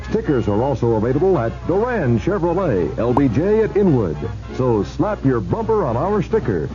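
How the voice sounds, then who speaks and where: A man reading a promotional radio commercial as an announcer in an upbeat vintage style. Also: mid-range voice. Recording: dull old radio recording, music.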